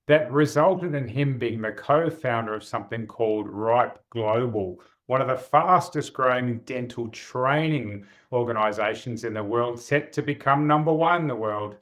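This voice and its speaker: A man hosting an interview podcast, asking brisk, upbeat questions. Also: mid-range voice. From Australia. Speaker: podcast host.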